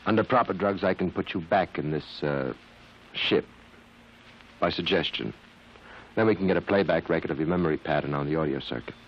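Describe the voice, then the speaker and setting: An actor in an old-time radio drama playing a measured army psychiatrist who questions formally and deliberately. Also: male voice, sits low. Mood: clinical, measured. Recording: noisy, muffled old radio broadcast recording.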